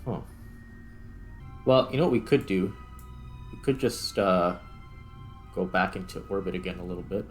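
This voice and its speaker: A man's voice: low-pitched, moderate pace, long pauses, soft background music. A man giving casual gameplay commentary, thinking aloud in a tentative, self-questioning way as he narrates each step. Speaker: gaming video host.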